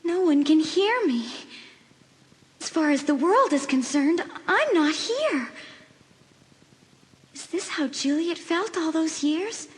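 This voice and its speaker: A woman's voice: very high voice, expressive, slow pace, long pauses, exaggerated voice. A woman playing an anxious, fretful teenage girl in a dramatised audiobook, earnest and dramatic. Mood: lonely, despairing. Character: anxious teenage girl trapped inside a mirror.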